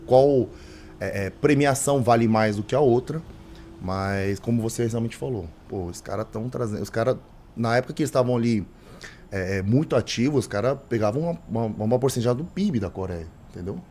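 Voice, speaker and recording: Hoarse man, halting chat. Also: mid-range voice, a little mellow, a little hiss or hum. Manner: halting, many restarts. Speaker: interpreter. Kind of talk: casual chat.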